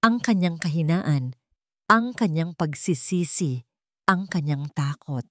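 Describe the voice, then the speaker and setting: A woman's voice: low-pitched, animated, slow pace, fairly bright tone, no echo, clean studio sound. A woman reading a scripted, dramatic romance story as a storyteller.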